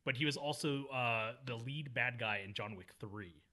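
Bright-voiced man chatting loosely. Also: medium pitch, moderate pace. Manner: rambling, self-correcting, laughs often. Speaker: podcast co-host. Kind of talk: casual film discussion.

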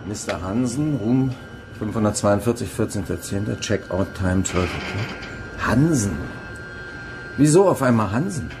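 An actor playing a terse, probing police investigator in a radio play. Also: male voice, on the low side, animated, measured pace, music in the background. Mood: puzzled. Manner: terse, confronting. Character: probing male police investigator, dry and persistent.

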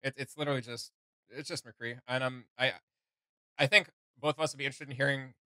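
A man's voice: mid-range voice, steady tone, fast, bright, forceful tone, no echo, studio quality. A young man hosting a reaction video, chatting casually and giving his opinions. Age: young adult. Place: small indoor room with gaming setup.

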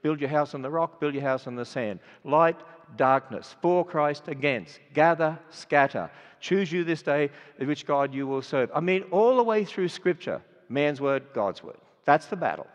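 An older man with an Australian accent preaching a sermon, stressing words and swinging between loud and quiet. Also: fairly high pitch, lively intonation, normal speed, hardly any pauses. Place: large auditorium. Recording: dull sound with long hall reverb.

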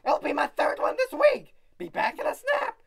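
A woman voicing an excitable, sarcastic young boy in a cartoon comedy. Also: very high voice, moderate pace, creaky, exaggerated voice. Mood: excited. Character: excitable snarky young boy named Bat.

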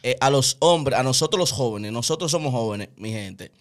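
Animated Dominican man hosting a podcast. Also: mid-range voice, moderate pace. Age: 30s. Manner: animated, gestures widely, preachy. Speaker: host. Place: small indoor home studio. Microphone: studio mic on stand, wearing headphones.

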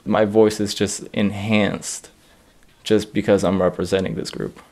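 A man's voice: lowish voice, level tone, measured pace, darkish tone. A young man from Iraq, a stand-up comedian, talking reflectively in an interview and laughing as he speaks. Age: young adult. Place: studio against a plain grey backdrop.

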